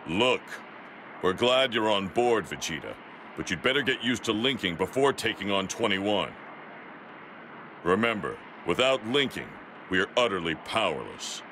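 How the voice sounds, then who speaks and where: Gruff alien warrior, anime game, deep, dry, expressive delivery. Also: male voice, normal speed, noisy background. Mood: stern. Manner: stern, dry. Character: stern, gruff green alien warrior mentor.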